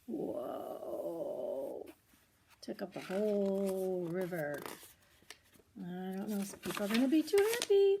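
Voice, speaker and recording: An older woman reads a children's storybook aloud in an expressive, grandmotherly way, with short asides to the listener. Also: mid-range voice, slight background noise. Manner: expressive, grandmotherly, commenting on the pictures.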